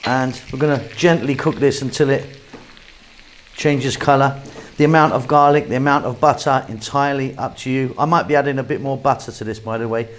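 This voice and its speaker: A man talks through a recipe at the stove as a cooking channel host. His voice sits at an everyday middle pitch. He chats in a relaxed, easygoing way and thinks aloud as he goes. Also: a little fast, a little hiss or hum. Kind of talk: casual cooking demonstration.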